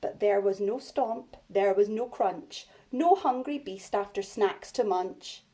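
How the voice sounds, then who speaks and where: Slow, mellow woman reading to young children. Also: medium pitch. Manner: simple, child-directed delivery. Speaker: primary school teacher. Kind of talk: expressive children's storybook reading and informal address to pupils.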